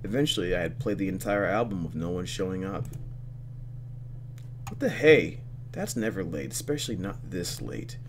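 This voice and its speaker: Animated man doing let's play commentary. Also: deep voice, moderate pace, pauses often, a little hiss or hum. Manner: expressive, dramatic reactions. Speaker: gaming video host. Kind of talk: let's play commentary, reading game text aloud. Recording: game music behind. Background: game music.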